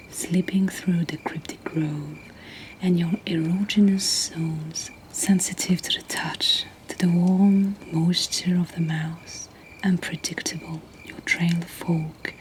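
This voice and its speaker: Non-native english lady whispering poems asmr style, really slow n breathy, crickets n faint noise behind her. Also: lowish voice, level tone, poor audio. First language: not English. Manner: slow, gentle, deliberate delivery. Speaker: host reading submitted poetry. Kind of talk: soft whispered ASMR poetry reading. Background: crickets and insect ambience.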